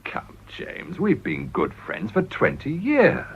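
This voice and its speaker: A man's voice: medium pitch, wildly swooping pitch, slow, husky, full character voice. An actor playing a ruthless, desperate middle-aged businessman in a radio drama, with a British accent and a blustering, wheedling delivery. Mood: anxious bargaining. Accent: British English. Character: ruthless, desperate, smooth-talking male businessman and political climber. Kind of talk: radio play acting. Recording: dull, muffled old radio recording.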